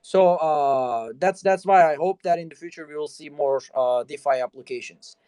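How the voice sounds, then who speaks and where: A man with a fairly high voice hosts a casual talk show conversation. His sound is dry and close. He keeps dropping you know into his sentences. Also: moderate pace, mellow, clean tone, no room sound. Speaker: host.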